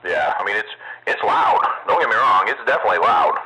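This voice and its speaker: A man's voice: lowish voice, sing-song, fairly quick. A man chatting casually on CB radio in a rambling, technical, sweary way. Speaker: amateur CB radio operator. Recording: noisy CB radio audio with static.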